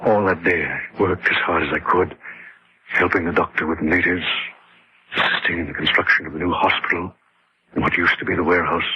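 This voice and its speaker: A man's voice: deep voice, steady tone, a little fast, husky. An actor playing an exhausted, grieving man who narrates his haunting in a confessional way in an old radio horror drama. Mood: numb, haunted. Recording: dull lo-fi old radio recording.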